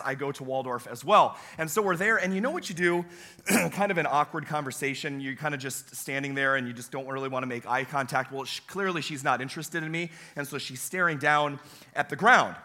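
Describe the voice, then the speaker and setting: A young man, a campus pastor preaching a sermon in a church with a hoarse, weakened voice, telling folksy, self-deprecating stories. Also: a little high, bright, forceful tone. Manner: folksy, self-deprecating storytelling with many uh fillers. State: hoarse, voice weaker than usual. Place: church sanctuary.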